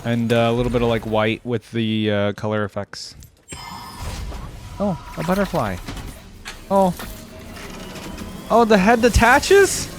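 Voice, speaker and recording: Animated man reacting to a game. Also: medium pitch, unhurried, long pauses, soft background music. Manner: rambling, interjecting, thinking aloud. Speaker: reaction video host. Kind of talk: casual reaction commentary on video game skins.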